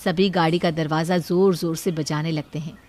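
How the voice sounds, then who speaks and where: A woman's voice: sits low, steady tone, moderate pace, darkish tone, no room sound. A woman storyteller reading a scripted moral story as narrator.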